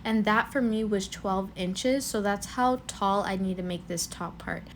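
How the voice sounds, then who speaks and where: A woman's voice: medium pitch, measured pace, slight background noise. A young woman with a cold, chatting casually through a knitting tutorial and thinking aloud as she counts stitches. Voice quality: slightly congested from a cold. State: has a cold. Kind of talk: casual step-by-step craft tutorial.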